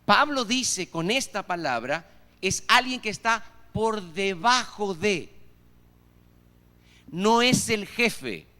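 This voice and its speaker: Argentine guy preaching in a church, voice kinda high, long hall reverb behind him. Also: pushed and bright, clean tone. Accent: Argentine Spanish. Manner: asks the congregation rhetorical questions. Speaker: pastor. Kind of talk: sermon.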